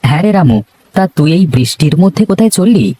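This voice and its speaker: A man voicing a worried older village father in a cartoon, with a slightly husky voice and a fretful, fatherly way of talking. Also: a little high, animated, fast talker, warm tone, no room sound. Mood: friendly. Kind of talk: cartoon voice acting.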